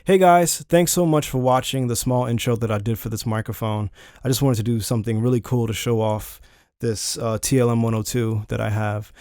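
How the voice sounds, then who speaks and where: A man's voice: mid-range voice, steady tone, brisk, a little mellow, no room sound, soft background music. A man giving a casual, chatty product review, talking informally to viewers on a close studio condenser microphone in a home studio. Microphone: close large-diaphragm studio condenser microphone.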